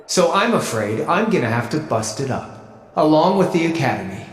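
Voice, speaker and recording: An actor playing a cocky, wry young rebel mech pilot in a video game cutscene. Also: male voice, fairly high pitch, very animated, moderate pace, soft background music. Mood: wry, menacing. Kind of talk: English dub video game cutscene acting. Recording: roomy echo, faint background noise.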